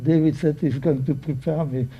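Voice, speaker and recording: A man in his nineties, a native German speaker, nervously giving personal testimony at a microphone in halting English. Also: fairly high pitch, steady tone, lo-fi sound, a little hiss or hum. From Czechoslovakia. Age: 90s. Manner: halting, searching for words, many restarts. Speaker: guest speaker at a public event.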